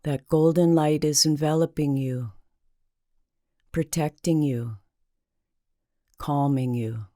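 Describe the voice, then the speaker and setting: A woman leading a guided meditation in a calming, deliberate manner with long pauses between phrases. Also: low-pitched, no room sound, clean studio sound.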